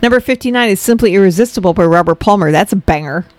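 A woman from Illinois co-hosting a casual podcast, teasing her partner and quick to correct her. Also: medium pitch, no echo. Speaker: podcast co-host.

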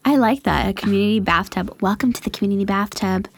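A woman's voice: mid-range voice, quick pace, vocal fry. Nasal woman getting over a cold. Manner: laughing, protests loudly. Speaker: podcast co-host. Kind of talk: casual podcast banter.

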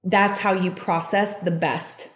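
A woman's voice: fairly low pitch, steady tone. Chatty young woman in a roomy space. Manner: chatty, many "like" fillers, quick asides. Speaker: podcast host giving a reading. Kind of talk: casual explanatory chat. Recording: roomy echo.

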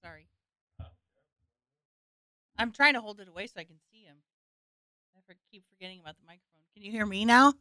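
A woman's voice: medium pitch, brisk, pauses often, sharp tone, dry recording. A woman comic chatting as a guest, giggly and playful. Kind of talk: casual chat.

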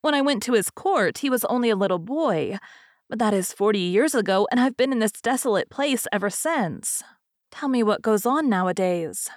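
A woman's voice: a little high, expressive, moderate pace, no room sound, studio quality, voice pushed up, natural voice. A woman voicing a vain, chatty old fairy princess in a storybook, gushing and rambling on tactlessly but good-naturedly. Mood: nosy, chatty.

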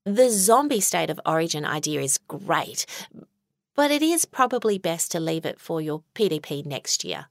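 A woman playing an earnest, bureaucratic high school principal, speaking in a measured, formal deadpan for a comic audio story. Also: no echo, studio quality. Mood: tactful, firm. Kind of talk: acted comic monologue in an audio short story.

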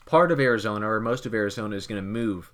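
A man's voice: on the low side, animated, fast, fairly bright tone. A man from Kentucky co-hosting a casual podcast, rambling and joking as he quizzes his cohost with leading questions. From Kentucky, United States. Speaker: podcast host.

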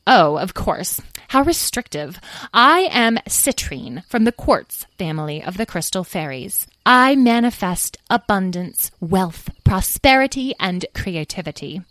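A woman's voice: mid-range voice, sing-song, unhurried, fairly bright tone, dry recording. A dainty little storybook fairy, played by a woman, greedy and grand about its own importance. The voice is airy and proud, recorded dry and close. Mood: proud, indignant.

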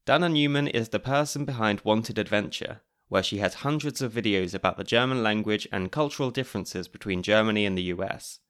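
A man's voice: lowish voice, brisk, hardly any pauses, fairly bright tone. British man hosting a podcast. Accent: British English. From Britain. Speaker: podcast host. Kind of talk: scripted podcast narration.

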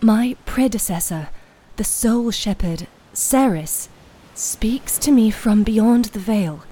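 Woman playing mystic priestess, high soprano, soft-spoken, solemn, measured, fantasy audio story. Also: low-quality recording.

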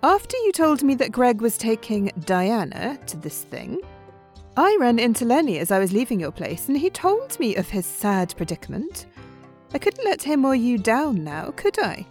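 A woman's voice: on the high side, very animated, moderate pace, darkish tone, faint music behind. A woman playing a vain, flirtatious, competitive glamorous friend in an audio drama, theatrical and teasing. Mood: breezy, needling.

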